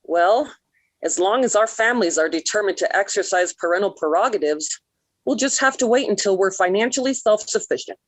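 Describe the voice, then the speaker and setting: A woman's voice: medium pitch, lively intonation, fairly quick, dry recording, lightly acted. An actress playing an earnest, emphatic American teenage girl in love, in 1940s radio sitcom style. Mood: indignant. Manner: gushing or indignant, emphatic.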